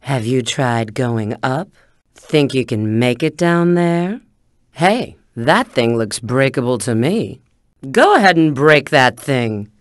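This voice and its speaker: Casting: confident female bat treasure hunter, video game guide; coy, teasing, very animated intonation, dry studio sound. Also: very deep voice, slow, no echo, full character voice. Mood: teasing, cautious. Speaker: video game character giving hints. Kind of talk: video game voice acting, tutorial prompts.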